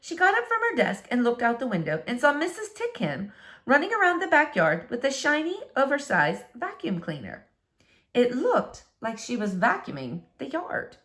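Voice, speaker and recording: A woman reading a children's book aloud as a storyteller, with expressive, dramatic emphasis. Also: a little high, moderate pace.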